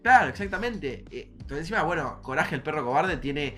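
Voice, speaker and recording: A man's voice: a little high, animated, fairly quick, fairly bright tone, faint music behind. A young man with an Argentine accent chatting casually as a podcast host.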